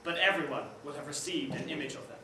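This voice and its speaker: A man's voice: fairly high pitch, fast talker, bright, forceful tone, very echoey, far from the mic, a little hiss or hum, low-quality recording. An actor playing a rambling, hesitant man who stammers through an apology on the phone. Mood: apologetic. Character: flustered apologetic ex-lover on the phone.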